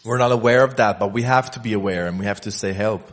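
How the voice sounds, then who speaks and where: A man's voice: on the low side, fast. A man interpreting a sermon line by line, often restarting and repeating words as he searches for the right phrasing.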